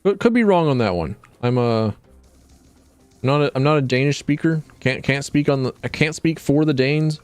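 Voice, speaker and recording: A man's voice: medium pitch, animated, normal speed, clear, resonant tone, no echo, faint music behind. A man streaming a video game, chatting casually in a rambling, wry way and often correcting himself. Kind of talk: casual gameplay commentary.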